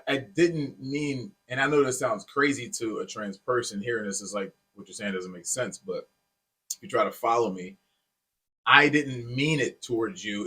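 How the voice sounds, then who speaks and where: A man with a bright, forceful voice that has some rasp in it. He co-hosts a podcast, explaining himself earnestly in loose, informal language, as if among friends. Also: medium pitch. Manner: informal, peppered with "man" and "dude". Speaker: podcast co-host. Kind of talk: casual, earnest chat.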